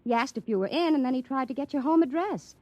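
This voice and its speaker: High, lilting dim young secretary. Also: female voice, lively intonation, quick pace, a little mellow, dry recording. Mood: chatty, wondering. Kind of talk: 1950s radio comedy-drama acting.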